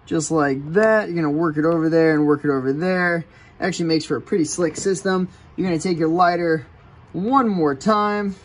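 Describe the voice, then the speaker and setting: Relaxed man explaining a kayak rig. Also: on the high side, normal speed. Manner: relaxed, step-by-step explaining while working with his hands. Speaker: kayak fishing channel host. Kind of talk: casual how-to demonstration. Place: outdoors on a house porch.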